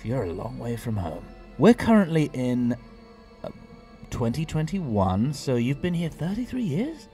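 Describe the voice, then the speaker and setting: Guy acting clever quirky time traveller, mellow voice but swoops around, audio drama, music behind. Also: medium pitch, big pitch swings, measured pace. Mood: wry, explaining.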